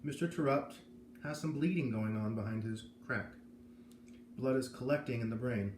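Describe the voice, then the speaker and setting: A man's voice: mid-range voice, normal speed, darkish tone, natural voice. A man voicing a grave, kindly doctor who explains things with care, in a read-aloud of a children's novel. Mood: somber. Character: grave, kindly male doctor with greying hair. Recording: roomy echo, noticeable background noise.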